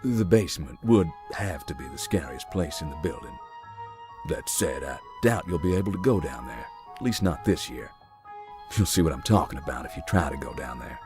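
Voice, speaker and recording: A man's voice: rumbling low, lively intonation, normal speed, music in the background. A man playing a cagey, teasing ghost hunter in a visual novel, with a secretive manner. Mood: sly, amused.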